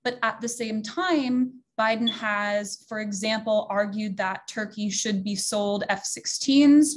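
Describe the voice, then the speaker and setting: A woman with a medium-pitched voice moderates a policy discussion. She speaks formally and articulately, laying out her points in measured phrases. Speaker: panel moderator.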